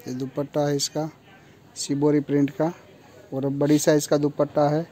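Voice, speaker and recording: Flat-voiced young man showing garments. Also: fairly high pitch, normal speed. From India. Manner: flat, matter-of-fact listing of features. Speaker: kurti factory seller. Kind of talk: product showcase walkthrough. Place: clothing store with fabric shelves.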